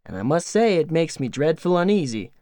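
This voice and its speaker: An anxious old farmer husband, plain-spoken and slow, as a male reader plays him. His lively voice rises and falls with worry. The sound is close and dry. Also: on the high side, no room sound. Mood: worried. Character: worried, mild-mannered older farmer husband.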